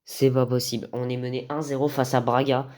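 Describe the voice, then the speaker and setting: Male gaming host, medium pitch, hesitant football game commentary with frequent mid-phrase pauses. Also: flat delivery, darkish tone.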